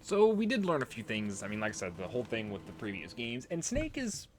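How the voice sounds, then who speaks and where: A man's voice: medium pitch, wildly swooping pitch, brisk, faint music behind. A man giving casual, rambling gameplay commentary, explaining things as he plays and swearing freely. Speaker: let's play host.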